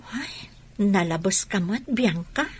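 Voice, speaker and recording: A woman's voice: medium pitch, lively intonation, slow pace, darkish tone, husky. A woman playing a questioning, chiding young friend in a radio drama. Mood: concerned, chiding.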